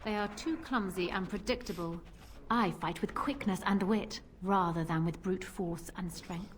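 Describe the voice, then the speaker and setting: A woman's voice: on the low side, normal speed, close to an everyday voice. Noisy game audio, woman playing a confident, flirtatious pirate captain. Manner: teasing, self-assured, theatrical. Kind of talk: video game voice acting.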